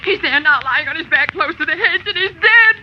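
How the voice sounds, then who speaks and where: A woman playing an excitable, frightened busybody spinster, stammering and flustered, in 1940s radio drama style. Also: extremely high pitch, a little fast, lo-fi sound. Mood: shaken, flustered. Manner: flustered, stammering, breathless pleading. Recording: thin, noisy old radio recording.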